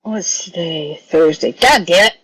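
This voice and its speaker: A woman's voice: lowish voice, lively intonation, measured pace, a bit bright, breathy edge, dry recording, soft background music, poor audio. A woman streaming a video game, tossing off casual, joking remarks and the odd swear. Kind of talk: casual gaming commentary.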